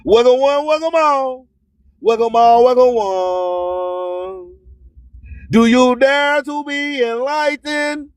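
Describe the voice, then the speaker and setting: Really high voiced guy doing slow preachy radio promo, repeats his lines, super dry sound. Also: fairly even intonation, clean tone, no room sound. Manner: preachy, repeats phrases for emphasis. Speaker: radio host.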